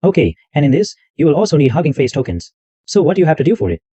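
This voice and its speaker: A man hosting a screen-recorded software tutorial, narrating every click step by step in loose, repetitive wording. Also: fairly high pitch, sing-song, racing, husky, no echo. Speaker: tutorial host. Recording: dull lo-fi dry mic sound.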